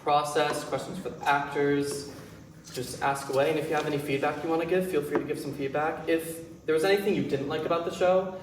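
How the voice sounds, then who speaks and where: A man's voice: on the high side, steady tone, a little fast. A man speaking informally as a playwright-director hosting an audience feedback session after a show. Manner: informal, conversational. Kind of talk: casual Q&A introduction. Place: indoor theatre space. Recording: echoey room, distant mic, clear noise.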